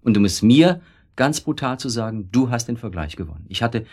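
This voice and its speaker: An actor in a German radio drama playing an evasive, husky-voiced husband who makes excuses to his suspicious wife. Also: medium pitch, animated, brisk, mellow. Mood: sardonic, amused.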